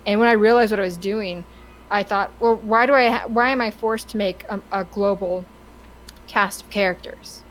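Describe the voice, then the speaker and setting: Woman on a podcast talking casual and opinionated, stumbles and restarts a lot, normal mid pitch. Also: fairly even intonation, a little hiss or hum, poor audio. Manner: halting, stammers and restarts often. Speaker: podcast co-host.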